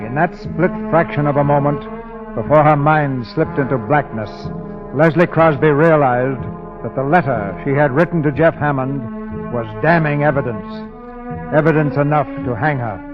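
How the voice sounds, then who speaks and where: A man narrating a 1940s radio drama as announcer, with formal, dramatic, deliberate phrasing. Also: on the high side. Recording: dull 1940s radio recording, loud music.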